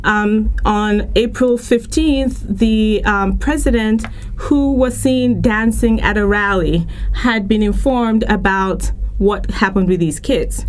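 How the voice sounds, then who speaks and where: A woman's voice: mid-range voice, takes it slowly, poor audio. A woman from Lagos, speaking earnestly and emphatically as an articulate radio interview guest. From Lagos, Nigeria.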